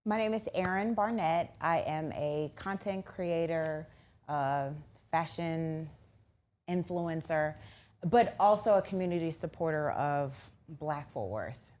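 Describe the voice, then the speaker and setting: A woman's voice: low-pitched, slow, a little mellow. A woman from New Orleans introducing herself casually as a panelist. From New Orleans, Louisiana. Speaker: panelist, content creator.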